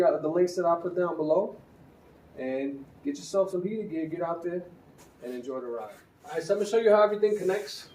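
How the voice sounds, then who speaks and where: A man's voice: on the high side, dark tone, recorded from a distance, a little hiss or hum. A man giving a casual, conversational gear review as a motorcycle vlogger, with easy fillers and restarts. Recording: roomy, from a bit off the mic, faint ambience.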